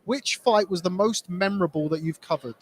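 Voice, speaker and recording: A man with a high voice hosts a live boxing show and reads out viewer questions. He rattles along quickly and loosely, full of fillers and small restarts. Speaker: co-host of a boxing news livestream. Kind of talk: livestream hosting, reading viewer questions.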